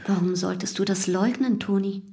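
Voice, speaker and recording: Woman playing young widow in love, calm and defiant, radio drama thing, middle pitch. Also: normal speed, vocal fry, lightly acted. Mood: tender, hushed. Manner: composed, unashamed. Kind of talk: German radio drama acting.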